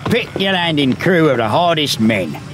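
Casting: male actor as gloating pirate captain, lively pitch swings, ruthless and pompous, noisy backdrop. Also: normal speed. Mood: commanding. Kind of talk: audio drama acting. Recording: noisy ambience behind the voice.

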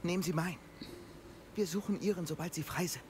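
A man plays an earnest young student wizard from a video game. His voice sits high and his words come crisply. Game ambience runs clearly behind him. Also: brisk, a little hiss or hum, natural voice. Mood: earnest, concerned. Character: earnest teenage male student wizard hero, shouting spells.

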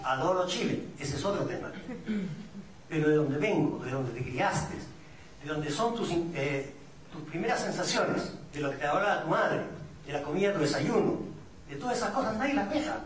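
High-voiced Peruvian man, echoey room. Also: lively intonation, moderate pace, a loud crowd. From Peru. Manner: reflective and didactic, poses rhetorical questions. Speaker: migrant community representative speaking on a panel. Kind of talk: public talk at a discussion forum. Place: indoor event room at a public forum. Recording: echoey room, distant mic, audience sounds.

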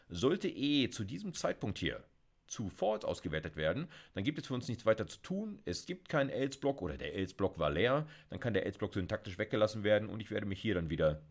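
A middle-aged man giving a recorded university lecture on programming, talking through slides in a chatty way with many fillers. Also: lowish voice. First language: German. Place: small dark room at a desk.

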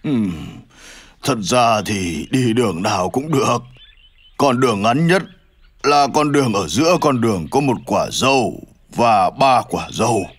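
A man voicing a slow, thoughtful old goat with a husky voice in a children's cartoon. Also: mid-range voice, animated. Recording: music under the voice.

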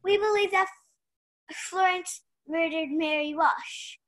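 A young woman playing an eager, flustered rookie detective in a radio play, halting and unsure but insistent. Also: very high voice. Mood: excited. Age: young adult. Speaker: actor in a youth theatre radio play.

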